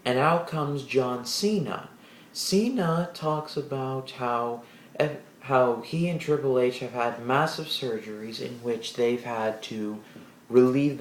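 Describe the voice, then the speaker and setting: A man giving a casual solo wrestling show review, rambling with restarts and animated asides in a deep voice. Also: echoey room, slight background noise, rough recording.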